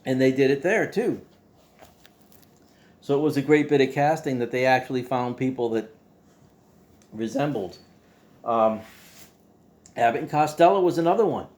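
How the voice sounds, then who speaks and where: A man hosting a casual monologue to his viewers in a folksy, playful way, halting and repeating himself as he goes. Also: medium pitch, darkish tone. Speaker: host.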